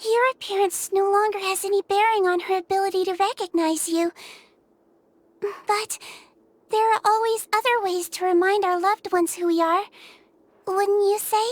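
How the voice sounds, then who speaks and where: A woman voicing a gentle young female melusine nurse in a game, speaking softly with calm reassurance. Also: very high-pitched, normal speed. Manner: soft, calm and kindly reassuring. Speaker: head nurse. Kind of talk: game cutscene voice acting.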